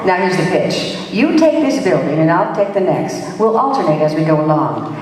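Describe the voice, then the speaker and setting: A woman playing a brisk, wry, wisecracking female private detective who narrates her case in slangy old-time radio play style. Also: lowish voice, big pitch swings, a little mellow. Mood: brisk, bossy. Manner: hard-boiled, wry, slangy. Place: live stage performance in a large room. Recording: echoey hall, recorded from far off.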